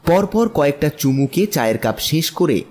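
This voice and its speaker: A man's voice: on the high side, very animated, normal speed, darkish tone. A man narrating an audiobook story from a script.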